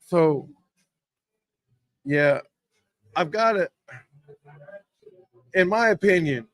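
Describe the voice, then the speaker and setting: A hoarse man hosting a casual sports talk show from a sports bar, rambling and getting worked up over play calls. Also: fairly high pitch. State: hoarse from yelling. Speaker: host.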